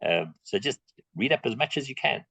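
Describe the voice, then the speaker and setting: A man's voice: mid-range voice, animated, fairly quick, creaky, bright, forceful tone, husky, no room sound. A middle-aged South African man answering audience questions in an online talk, plain-spoken and emphatic, repeating his key points. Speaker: guest speaker on reptiles.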